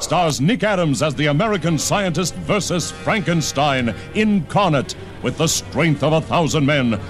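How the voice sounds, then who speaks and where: A man playing a punchy 1960s trailer announcer, pitched fairly high. He sells monster pictures with bombast and urgency over loud music. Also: lightly acted. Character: booming, urgent male B-movie trailer announcer. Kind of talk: 1960s film advertisement announcing. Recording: vintage trailer, music behind.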